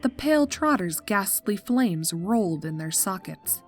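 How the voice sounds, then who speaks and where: A woman narrating scripted fiction in an expressive, measured, drily comic storytelling style. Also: mid-range voice. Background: music.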